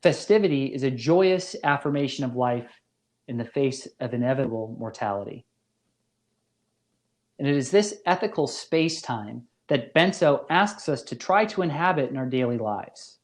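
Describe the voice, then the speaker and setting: A man delivering a scripted academic lecture as a professor, in a measured, deliberate and formal manner. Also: medium pitch, lively intonation.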